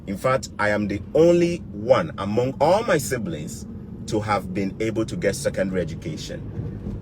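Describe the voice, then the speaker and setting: Man, Ghanaian accent, slightly low pitch, motivational vlog advice, emphatic repetition, noticeable background noise. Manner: emphatic, repeats key words and phrases. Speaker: YouTube vlogger.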